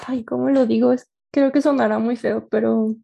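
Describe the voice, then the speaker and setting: Mellow, creaky Mexican woman. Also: fairly high pitch, steady tone, a little fast, a little hiss or hum. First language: Spanish. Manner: hesitant, searching for words in German. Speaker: interviewee. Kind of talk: casual chat.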